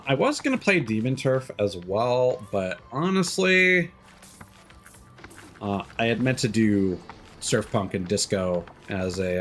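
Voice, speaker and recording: A man giving casual livestream commentary on a video game, rambling and thinking aloud. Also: on the low side.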